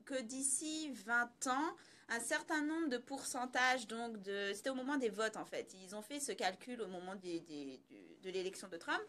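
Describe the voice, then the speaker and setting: Higher-voiced woman giving emphatic explanatory commentary. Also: lively intonation, normal speed, bright, forceful tone. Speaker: commentator on social issues. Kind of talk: conversational explanatory analysis.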